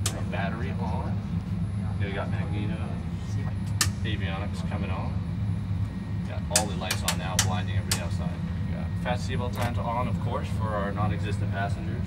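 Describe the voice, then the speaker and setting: A man giving casual running commentary as a flight sim pilot, with radio calls and deadpan mock-captain joking. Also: lowish voice, a little fast. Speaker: flight simulator video creator. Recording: noisy, distant mic amid engine drone.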